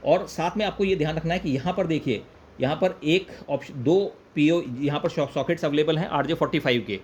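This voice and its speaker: Higher-pitched Indian man demonstrating a product. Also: fairly quick. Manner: explanatory, repeats points with Hindi-English code-switching. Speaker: product reviewer and presenter. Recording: clear background noise, room ambience.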